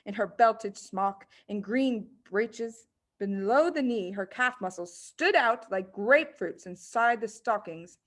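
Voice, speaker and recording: A woman reading a children's book aloud as narrator, in an expressive storytelling style. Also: mid-range voice. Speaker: audiobook reader.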